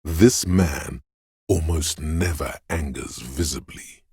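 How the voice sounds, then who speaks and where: Very deep, gravelly, menacing inner voice. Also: male voice, mellow, dry recording, heavily acted. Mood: cold contempt. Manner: ominous, slow, deliberate delivery. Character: menacing, paranoid inner voice of primal fear and aggression. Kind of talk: dramatic second-person game narration.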